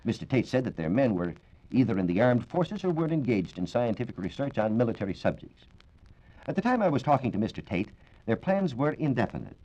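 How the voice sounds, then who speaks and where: An American man explaining science in a measured, precise, formal way as a reporter on a 1940s radio program. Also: mid-range voice, big pitch swings, dark tone. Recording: dull 1940s radio recording.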